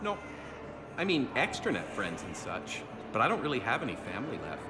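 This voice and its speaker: An actor playing an awkward, eager human fan in a video game cutscene, talking in a nervous, rambling way. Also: male voice, mid-range voice, wildly swooping pitch, fairly quick, loud music behind the voice. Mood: flustered.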